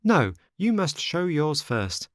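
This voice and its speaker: A high-pitched wooden puppet boy from a storybook, acted out by a male narrator. He is impulsive and sly, speaking in swooping, exclamatory phrases with wheedling politeness. The sound is close and dry. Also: sing-song, slow pace, no room sound. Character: boy marionette, impulsive, remorseful, sly and eager.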